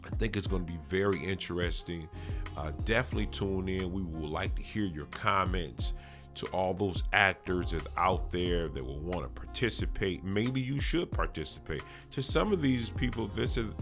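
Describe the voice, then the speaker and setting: A man hosting a podcast, informally announcing an upcoming show and speaking directly to listeners in a halting way. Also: low voice. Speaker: podcast host.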